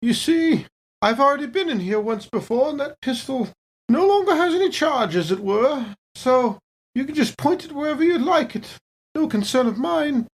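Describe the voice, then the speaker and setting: A woman's voice: sits high, animated, moderate pace. A woman voicing a genteel, coolly confident lady with a dainty, sweetly dangerous manner in a tabletop game. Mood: coolly amused. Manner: dainty, polite, mock-apologetic.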